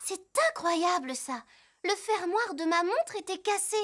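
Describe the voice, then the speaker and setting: A woman voicing a chatty teenage girl who loves horses in a cartoon dub, talking in an animated way. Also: very high voice, unhurried, heavily acted.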